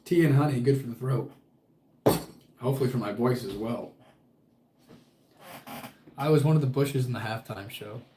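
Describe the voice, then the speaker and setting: A man's voice: mid-range voice, moderate pace, pauses often, noisy background. Laid-back profane young man, echoey room. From Toledo, Ohio, United States. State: voice strained, worried about losing it. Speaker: livestream host. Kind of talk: casual livestream chat reading viewer comments.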